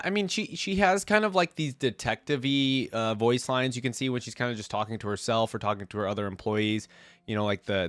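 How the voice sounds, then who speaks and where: A man's voice: mid-range voice, fairly bright tone, dry recording. A man with a cold, rambling casually as he hosts a reaction video. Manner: rambling, full of fillers. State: congested with a cold.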